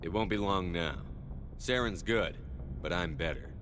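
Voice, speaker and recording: An actor playing a self-assured military space commander in his thirties in a video game, measured and terse. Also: deep voice, expressive, noisy background. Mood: firm, confident.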